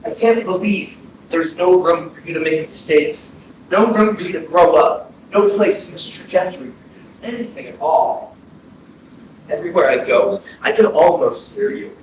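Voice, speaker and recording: A man playing a haunted, grieving man of almost thirty in a staged reading, speaking earnestly and haltingly as he pleads with the dead. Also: high-pitched, expressive. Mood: anguished searching. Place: indoor arts center stage, recorded from afar. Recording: very echoey, distant hall, faint noise, processed.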